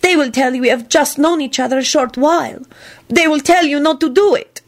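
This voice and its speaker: A coy young foreign woman in love, as a female narrator puts her on. Her voice is high and very expressive, with sweet, emotional delivery and careful, slightly formal phrasing. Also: a little slow, breathy edge, full character voice. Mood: unhappy, wailing. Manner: careful, non-idiomatic phrasing without contractions.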